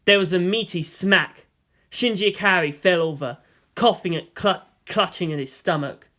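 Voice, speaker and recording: A man's voice: high-pitched, normal speed, pushed and bright. A man reading fan fiction as narrator, in a dramatic scripted storytelling style.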